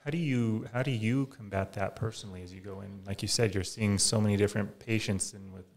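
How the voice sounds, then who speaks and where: Low gravelly young male podcast host. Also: steady tone, brisk. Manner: casual, affirming, fillers like right and yeah. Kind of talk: interview questions. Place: small studio office. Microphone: desk-mounted broadcast mic.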